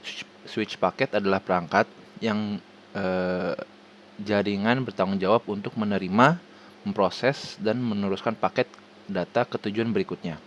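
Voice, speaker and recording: Man, Indonesian accent, lowish voice, formal read-aloud presentation, halting with stumbles, faint noisy background. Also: fairly even intonation. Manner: formal, halting, stumbles over words and restarts them.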